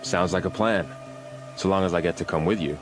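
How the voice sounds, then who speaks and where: Man playing a deep-voiced loyal young warrior. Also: fairly quick, over music, lightly acted. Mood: earnest. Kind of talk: video game dramatic dialogue.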